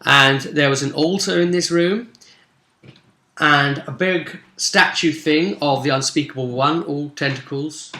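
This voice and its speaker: A man speaking casually as a game master, recapping a tabletop role-play session with animated, dramatic emphasis. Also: a little high, unhurried. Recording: roomy, echoey sound.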